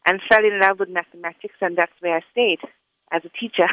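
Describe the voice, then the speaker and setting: A woman's voice: lowish voice, steady tone, fairly quick, no room sound. A woman, giving earnest, articulate answers as an interview guest. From South Africa. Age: 40s. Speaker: interview guest, school academic director. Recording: dull lo-fi sound, dry.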